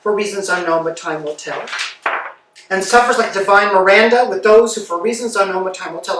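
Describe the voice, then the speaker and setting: A man's voice: high voice, fairly bright tone. A man playing a ragged old male servant, pouring out a breathless, tumbling monologue in a staged play reading. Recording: echoey room, distant mic.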